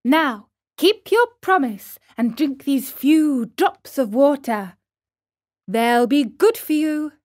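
A woman voicing a patient, motherly fairy in a storybook, coaxing in a firm and gentle manner. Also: sits high, lively intonation, slow, pitched above the performer's own voice.

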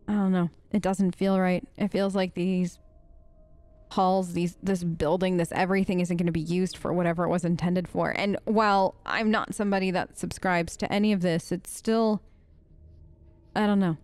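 A woman's voice: lowish voice, flat delivery, normal speed, darkish tone, no room sound. A woman improvising in-character commentary for a role-play let's play, conversational and hesitant as she thinks aloud.

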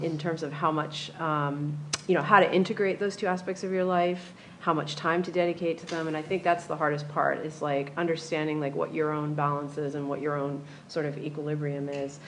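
A woman panelist speaking in a measured, thoughtful, soft-spoken way in a lecture room. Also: low-pitched, a little hiss or hum. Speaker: panelist, dean. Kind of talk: reflective panel remarks.

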